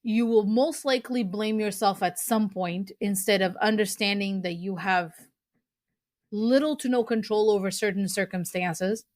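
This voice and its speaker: A woman's voice: mid-range voice, moderate pace, dry recording, clean studio sound. A young woman from Portugal, hosting her own podcast and talking earnestly about books, with fillers and restarts along the way. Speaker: podcast host. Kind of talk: casual podcast talk recommending books.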